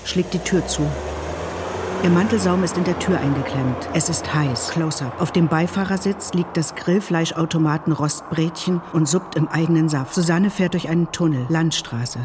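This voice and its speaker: Male narrator, fairly high voice, matter-of-fact screenplay reading, clear traffic-like noise behind. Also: normal speed. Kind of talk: scripted screenplay-like narration in present tense. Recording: clear vehicle and ambient noise.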